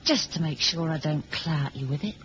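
A woman's voice: sits low, moderate pace, fairly bright tone. A woman playing a tough, possessive British agent in a 1970s radio drama, teasing and sarcastic with a British accent. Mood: jealous, arch. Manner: needling, sarcastic. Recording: muffled old radio recording, clear noise.

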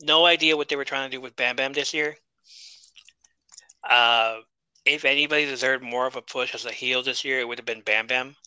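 A man's voice: medium pitch, fairly even intonation, fairly quick, bright, forceful tone, clear, resonant tone, no room sound, rough recording. A man co-hosting a casual wrestling podcast, chatty and wry, often laughing at his own jokes. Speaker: podcast co-host.

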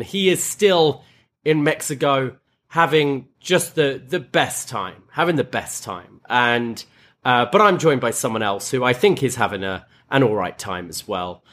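A man's voice: mid-range voice, fairly bright tone. Chatty man hosting a podcast. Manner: upbeat and chatty, with many fillers and restarts. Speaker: podcast host. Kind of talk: casual chat.

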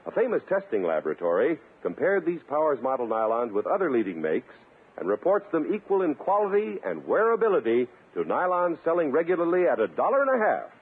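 A man's voice: a little high, huge pitch swings, a little fast, slightly rough. A man announcing in scripted 1950s radio advertising and narration style. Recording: muffled 1950s radio recording.

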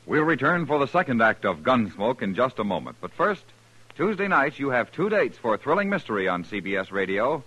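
Forceful, animated male radio announcer. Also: medium pitch, a little fast, husky, muffled recording. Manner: polished, emphatic. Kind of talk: 1950s network radio announcing.

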